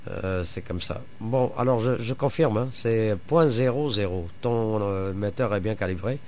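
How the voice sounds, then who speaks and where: A man with a fairly low voice talks casually as a radio amateur. He proceeds haltingly, often searching for his next word. The recording is a little dull, with faint noise behind him. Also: darkish tone. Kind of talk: casual chat over radio.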